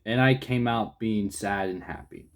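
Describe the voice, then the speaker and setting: A young man co-hosting a casual movie review chat, loose and excitable, with restarts and the odd swear word. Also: on the low side, unhurried. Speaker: co-host of a movie review channel.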